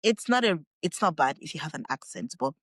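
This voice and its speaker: A young Nigerian woman, a guest on an interview podcast, telling her story in a relaxed, chatty way and laughing often. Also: low-pitched, a little fast, husky, dry recording, clean studio sound. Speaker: guest, English teacher in Japan.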